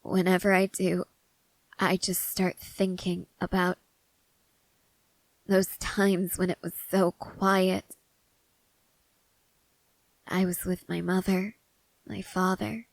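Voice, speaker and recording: A woman playing a haunted, restless young woman soldier in an intimate ASMR character roleplay, speaking haltingly and broodingly with pauses. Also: lowish voice, flat delivery, vocal fry, no echo, rough recording. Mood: wistful. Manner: slow, halting, brooding delivery with long pauses.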